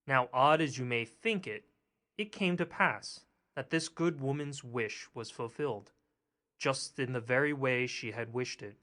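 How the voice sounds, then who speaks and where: A man reads a classic fairy tale aloud in a measured, steady way. His voice sits at a middle pitch and keeps an even storytelling pace. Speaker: audiobook narrator. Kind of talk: scripted storybook narration.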